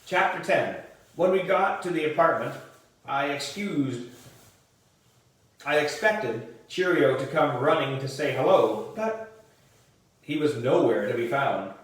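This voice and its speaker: A man reading a children's book aloud as narration, in a deliberate way with the odd stumble and reread. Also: mid-range voice, lively intonation. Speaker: volunteer reader for a book club. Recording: distant mic in echoey room.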